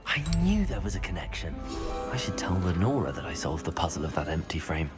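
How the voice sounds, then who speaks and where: Game casting: earnest teenage wizard, polite and confident, fairly low voice with animated pitch. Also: male voice, a little fast. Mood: intrigued. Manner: polite, articulate. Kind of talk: scripted game cutscene acting. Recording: prominent music under the voice.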